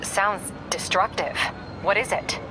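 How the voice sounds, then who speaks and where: Woman acting a brisk police captain. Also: medium pitch, background noise, close to an everyday voice. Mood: brisk, businesslike. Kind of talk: video game voice acting.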